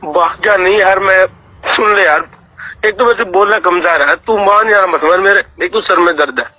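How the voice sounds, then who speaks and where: A man with a high, sharp voice pleads in a private phone argument. He sounds tearful and distressed, repeating himself and swearing oaths. It is a recorded phone call. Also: normal speed. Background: phone call audio.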